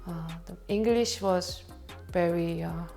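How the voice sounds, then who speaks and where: A woman speaking English as a second language with a Korean accent, telling her story as a barber in a documentary interview, in halting phrasing and laughing at herself. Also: lowish voice, vocal fry, dark tone, faint music behind.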